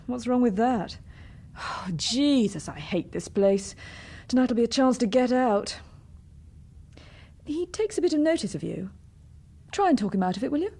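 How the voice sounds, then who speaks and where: A woman's voice: on the high side, normal speed, pauses often, husky. A woman acting in a British radio drama as a sullen, bored young daughter, blunt and self-destructive. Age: young adult. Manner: flat-worded, blunt, sulky.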